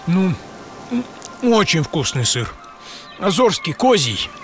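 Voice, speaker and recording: A man playing a solitary, excitable and stubborn old-time American sea captain in a first-person audio drama, with theatrical delivery. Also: sits high, slow pace, exaggerated voice. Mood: delighted. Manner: theatrical, swinging from murmur to shouting. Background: music bed.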